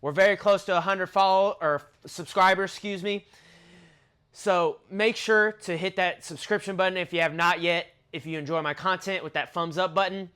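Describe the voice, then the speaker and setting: A man's voice: high voice, normal speed, smooth. A young man in his twenties with a stuffy, nasal voice, chatting casually through a fragrance review. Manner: chatty, conversational, lists notes in a relaxed flow. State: nasal from allergies.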